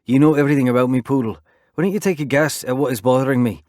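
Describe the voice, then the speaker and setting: A man's voice: medium pitch, very fast, dry recording, studio quality, voice pushed up, close to an everyday voice. A man doing a snarling, furious young fighter. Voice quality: thick heavy accent when angry. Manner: shouting, snarling.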